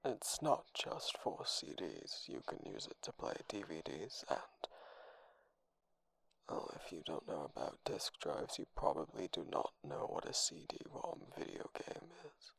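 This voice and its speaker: A man voicing a dry, deadpan computer boyfriend who speaks formally and measuredly in an ASMR roleplay. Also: very deep voice, creaky, husky, dry recording, pitched below the performer's own voice, full character voice, low-quality recording. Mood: deadpan, explanatory. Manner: flat emotional delivery, measured, formal.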